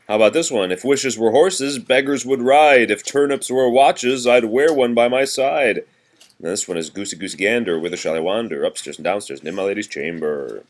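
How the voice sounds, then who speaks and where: Male reader, low-pitched, parent at storytime: sing-song rhymes broken up by informal asides and explanations for a young child. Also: normal speed. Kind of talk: read-aloud of nursery rhymes with casual chat to a child.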